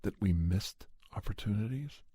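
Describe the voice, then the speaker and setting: A man with a soft, breathy, raspy voice telling horror stories in a hushed, deliberate ASMR style, close to the microphone. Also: on the low side, lively intonation, no room sound. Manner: slow, hushed, deliberate delivery. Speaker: podcast host and storyteller. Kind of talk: soft ASMR first-person horror storytelling.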